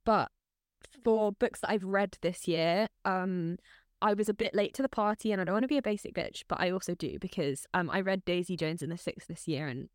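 A woman's voice: fairly low pitch, a little fast, no echo, studio quality. Gushing, chatty woman podcast co-host. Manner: gushing, chatty, sprinkled with "like" and swearing. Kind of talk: casual chat.

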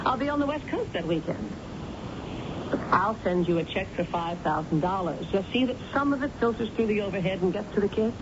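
A woman playing a brisk, wry, commanding female executive in 1970s radio drama style. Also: mid-range voice, expressive, natural voice. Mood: wry, impatient. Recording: dull, noisy old radio recording.

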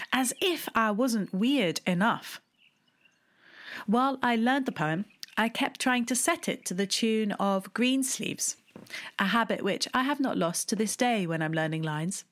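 A woman, telling a personal memoir in a wry, deliberate way, with hushed asides. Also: mid-range voice. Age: 30s. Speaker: storyteller. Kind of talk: memoir storytelling.

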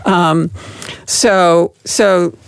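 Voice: medium pitch, steady tone, very slow, vocal fry, clean tone, no room sound. A middle-aged business lawyer on a panel, explaining at length with frequent restarts. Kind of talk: panel discussion.

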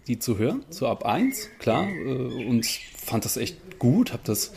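A man of average pitch chats casually as an interview guest. He talks like an actor discussing his work in an informal conversation. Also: very animated, unhurried, gravelly, a little mellow.

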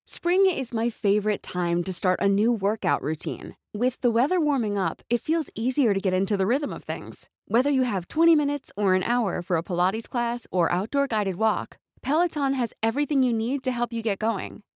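Woman, ad read, slightly high, lively, upbeat. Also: moderate pace, hardly any pauses, no echo, clean studio sound. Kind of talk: scripted ad read.

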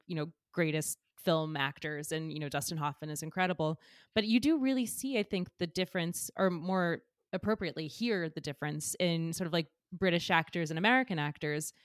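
Female co-host, lower register, dry recording; reflective, questioning, quick to laugh. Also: no echo. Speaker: podcast co-host. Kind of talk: casual podcast chat.

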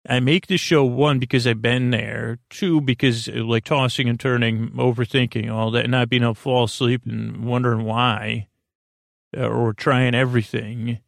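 A man hosting a bedtime podcast in a meandering, halting monologue, often drifting off his train of thought. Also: mid-range voice, creaky, dry recording, studio quality. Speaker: podcast host.

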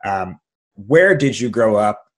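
A man hosting an interview podcast, delivering a lively, sing-song scripted intro before chatting with his guest. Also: fairly low pitch, takes it slowly. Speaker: podcast host.

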